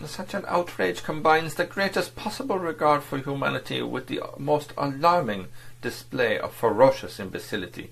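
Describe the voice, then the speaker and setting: A man's voice: a little high, moderate pace, gravelly, fairly bright tone, husky, pitched above the performer's own voice, full character voice. A man voicing a suave, cynical foreign diplomat who mocks and threatens his agent. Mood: sneering. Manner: mocking, sneering, overbearing.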